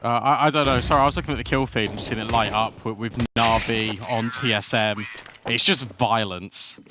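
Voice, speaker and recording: A man doing live play-by-play commentary on an esports match, excitable and quick to build with the action. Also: medium pitch, background noise. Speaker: esports caster.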